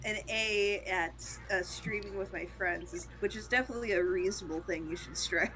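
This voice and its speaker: A woman's voice: mid-range voice, normal speed, bright, forceful tone, faint music behind, poor audio. A woman co-streamer chatting casually during a stream. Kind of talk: casual chat.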